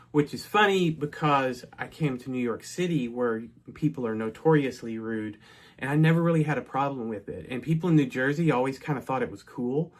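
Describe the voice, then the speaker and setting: Middle-aged man telling a work story. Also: mid-range voice. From North Texas. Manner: chatty, with frequent restarts and asides. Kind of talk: casual personal storytelling.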